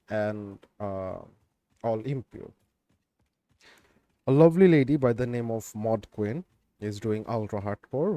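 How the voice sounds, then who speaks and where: A man streaming a game, chatting in a laid-back, rambling way with lots of fillers, his voice a bit raspy. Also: on the low side, dark tone, no room sound. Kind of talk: casual game stream chat.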